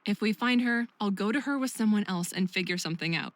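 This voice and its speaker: A woman's voice: medium pitch, fast talker, no echo, natural voice. A woman playing a caring, protective young best friend in a visual novel game, earnest and emotive. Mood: eager, planning.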